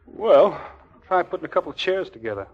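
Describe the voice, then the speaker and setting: An actor playing a put-upon, exasperated young army lieutenant in a 1940s radio comedy, on a muffled old broadcast. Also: male voice, high-pitched, sing-song, a little fast. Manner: stammering, put-upon, grumbling. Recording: dull, band-limited 1940s radio broadcast.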